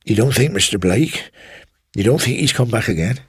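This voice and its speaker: A male reader plays a timid young servant in a radio ghost story. He pleads hesitantly, in a rough-edged voice lifted above the storyteller's, recorded close and dry. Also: mid-range voice, lively intonation, moderate pace, no room sound, voice pushed up. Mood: frightened, pleading.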